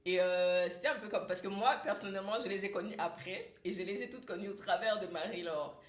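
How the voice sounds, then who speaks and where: A woman's voice: mid-range voice, brisk. A young woman from Cameroon hosting a casual podcast chat, laughing often and mixing English phrases into her French. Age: young adult. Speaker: podcast host. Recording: echoey room.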